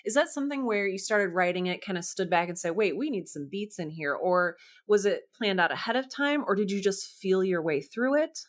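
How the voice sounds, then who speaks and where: A woman's voice: medium pitch, lively intonation, brisk, hardly any pauses. A woman hosting a casual podcast interview, curious and engaged as she talks with her guest.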